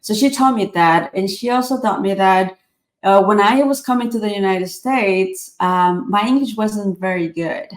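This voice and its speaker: A woman in her forties from Ecuador speaks at a middle pitch, earnest and reflective. She pauses on fillers and restarts her sentences. Her voice bounces around a roomy, echoey space. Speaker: author and tech speaker. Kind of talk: interview answers.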